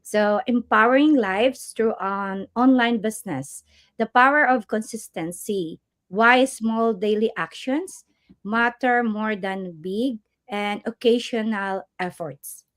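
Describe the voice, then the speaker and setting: A woman's voice: fairly high pitch, slow pace, no room sound. Earnest woman from the Philippines. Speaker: guest speaker. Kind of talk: casual online talk.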